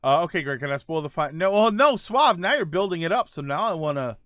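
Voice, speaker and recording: A man hosting a livestream, chatting casually with viewers in a halting way, often restarting his phrases. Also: fairly high pitch, animated, a bit bright, no room sound, studio quality. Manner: halting, repeats phrase openings. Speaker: livestream host. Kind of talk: casual chat with live viewers, gaming and film talk.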